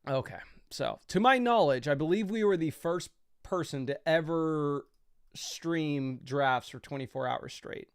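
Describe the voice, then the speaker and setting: A man's voice: a little high, unhurried, clean tone, dry recording, studio quality. A young man hosting a fantasy football livestream, talking loosely and casually with lots of fillers as he explains his picks.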